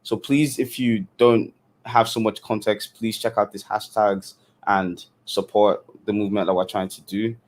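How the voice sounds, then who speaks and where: Casting: man, low-pitched, easygoing keynote speaker with frequent fillers, computer mic. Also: steady tone, normal speed, a little mellow, slight background noise. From Nigeria. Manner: casual, loose, many fillers. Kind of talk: online conference talk.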